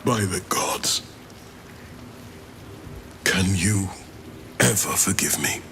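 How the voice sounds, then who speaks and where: A man's voice: low-pitched, extremely slow, vocal fry, sharp tone, a little hiss or hum, full character voice. An actor playing a proud, anguished older grand duke and father, with solemn, formal delivery in a fantasy game. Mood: stricken, remorseful. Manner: solemn, formal, theatrical oratory. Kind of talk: dramatic fantasy game acting.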